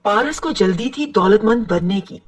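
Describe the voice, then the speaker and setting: Husky woman narrating a children's story. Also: mid-range voice, expressive, moderate pace, recorded from a distance.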